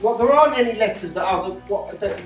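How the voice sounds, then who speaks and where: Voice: medium pitch, animated, fast talker. A speaker from Wales with a Welsh accent, casually explaining jobs in a motorcycle workshop with a teasing manner. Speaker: workshop mechanic and co-presenter. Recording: guitar music behind the voice.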